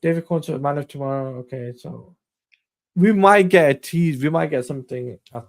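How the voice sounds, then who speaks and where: A man's voice: on the high side, moderate pace. A man hosting a casual live stream, reading out news items in a rambling way while losing his voice. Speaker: stream host.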